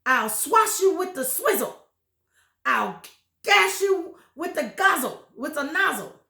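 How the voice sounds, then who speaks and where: Nasty old storybook woman, woman narrator doing the voice: shrill, shrieking threats, echoey room. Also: sing-song, takes it slowly, creaky, bright, forceful tone, heavily acted. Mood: furious.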